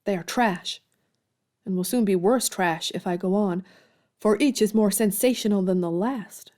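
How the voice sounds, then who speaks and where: A woman's voice: mid-range voice, normal speed, darkish tone, lightly acted. An actress playing a proud, earnest young woman writer in a period audio drama, speaking formally and politely. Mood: troubled, earnest.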